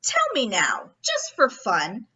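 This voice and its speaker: Lady doing a folksy cop captain in an old radio mystery, needling, swoops up and down, sharp voice. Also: a little high, wildly swooping pitch, slow, breathy edge, dull audio, exaggerated voice. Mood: pressing, pouncing. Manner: mock-casual, probing, insinuating questioning. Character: brash, folksy, slyly needling police captain. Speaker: actor in an amateur radio play. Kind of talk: old-time radio detective acting.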